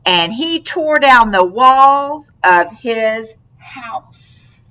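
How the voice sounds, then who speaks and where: A woman reads a children's picture book in a bright, highly animated voice. She takes it very slowly and expressively, drawing a young listener in with questions. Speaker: read-aloud storyteller to a young child.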